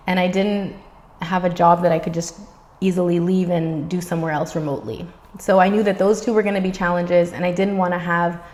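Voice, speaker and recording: A woman in her thirties from the Bahamas with a neutral, American-sounding accent, chatting upbeat to camera as a vlogger. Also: on the low side. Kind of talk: casual talk to camera. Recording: reverberant room sound.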